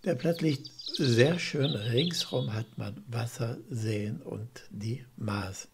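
A man's voice: medium pitch, slow, creaky, background noise. A man narrating a travel vlog in a plain, chatty, unpolished way. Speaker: camper van travel vlogger. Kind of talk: narrated travel video commentary. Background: music.

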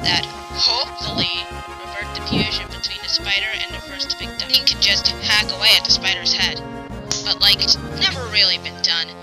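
A woman plays an older demon sister in a comic dub. She explains things casually and knowingly at a medium pitch. Loud music sits underneath. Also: normal speed, natural voice, low-quality recording. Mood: offhand, explaining. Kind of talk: comic dub character acting, conversational.

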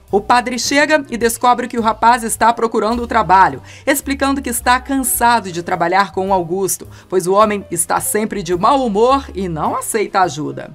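A woman reads a scripted episode recap in a steady, even voice that sits on the high side. Soft music runs faintly beneath her voice-over. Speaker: narrator of soap opera recaps.

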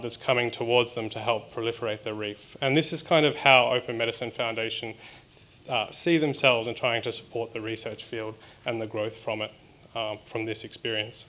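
A man's voice: mid-range voice, fairly bright tone. A man in his thirties with an Australian accent, giving a conversational conference talk with frequent ums and restarts. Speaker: research scientist presenting at a symposium. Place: conference hall stage.

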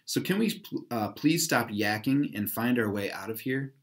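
A man acts out an impatient young girl in a children's storybook. She is bossy and brisk in manner, telling others what to do. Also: medium pitch, expressive, natural voice.

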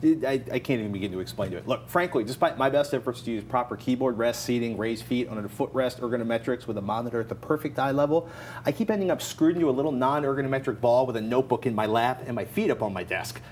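Middle aged american guy hosting tech show, casual advice, average pitch, slight background noise. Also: fast, a little mellow. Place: TV studio at a desk.

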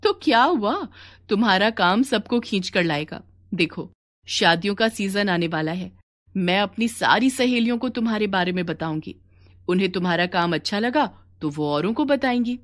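A woman's voice: mid-range voice, animated, moderate pace, lightly acted. A woman voicing a kind, encouraging married neighbour in a cartoon story.

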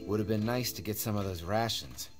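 A man's voice: lowish voice, fairly quick, close to an everyday voice. An actor playing an edgy, loyal, talkative man in a zombie apocalypse game, with casual, candid delivery. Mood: wry, uneasy. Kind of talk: video game voice acting, dramatic dialogue. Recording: game music bed behind voice. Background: music.

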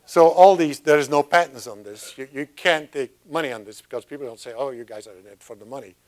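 A man's voice: medium pitch, quick pace. An older man at a podium, giving a chatty science talk with frequent restarts and asides. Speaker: guest speaker. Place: lecture room at a podium. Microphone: lapel mic.

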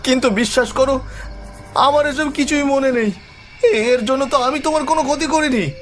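A man's voice: moderate pace, soft background music. A man voicing a frightened villager in a cartoon horror story, anxious, urgent and pleading. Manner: high-pitched, urgent, pleading.

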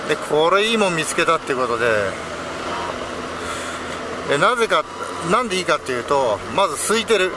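A man's voice: a little high, big pitch swings, brisk, long pauses. A middle-aged man chatting casually while riding a scooter at night, rambling and self-deprecating with lots of fillers. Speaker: delivery rider and shop owner vlogging. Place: outdoors on a scooter on a city road at night. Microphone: helmet or handlebar camera mic. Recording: loud engine and wind noise. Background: loud engine and traffic noise.

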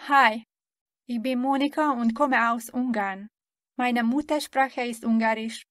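A woman speaking slowly and clearly as a caller in a scripted learner dialogue. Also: fairly high pitch, steady tone, dry recording. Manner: slow, clear articulation.

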